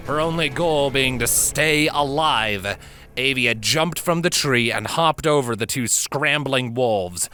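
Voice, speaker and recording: A man's voice: mid-range voice, sharp tone. Man narrating dramatically over prominent music. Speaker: fan fiction narrator. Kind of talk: dramatic scripted story narration. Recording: prominent music and effects behind voice. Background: background music, sound effects.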